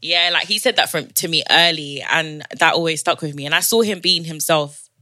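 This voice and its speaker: A woman's voice: deep voice, moderate pace, bright, forceful tone. A young woman from Zimbabwe and south London, a native Shona speaker with a London accent, chatting casually and slangily as a podcast guest. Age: young adult.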